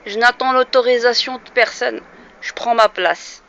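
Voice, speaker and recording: A woman's voice: on the high side, moderate pace, vocal fry, fairly bright tone, slight background noise. A woman in her forties from France, speaking to camera in an earnest opinion monologue that turns heated and emphatic, with frequent hesitations. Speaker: vlogger. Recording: slightly dull lo-fi recording.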